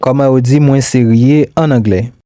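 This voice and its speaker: Mellow-voiced man reading lesson prompts. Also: medium pitch, normal speed, no room sound, clean studio sound. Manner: steady, repeats one question pattern. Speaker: language teacher.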